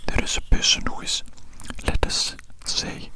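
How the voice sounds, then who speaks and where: A woman whispering a rambling ASMR roleplay very close to the microphone, softly repeating her phrases in a breathy, unvoiced voice. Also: a little slow. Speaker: ASMR performer.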